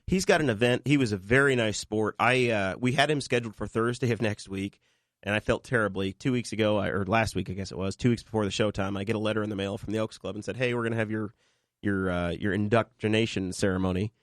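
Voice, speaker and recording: A man with a cold hosts a casual local podcast, speaking fast in a lowish voice. He rambles and restarts often. The sound is dry and close. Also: fairly even intonation, no room sound. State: fighting a cold. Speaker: podcast host. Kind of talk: casual chat.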